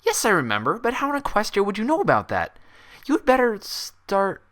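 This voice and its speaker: A man's voice: high-pitched, wildly swooping pitch, moderate pace, fairly bright tone, voice pushed up, full character voice. A man voicing an indignant young female unicorn pony in a story reading, bookish, stubborn and insistent. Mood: wary, indignant. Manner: insistent, emphatic, argumentative.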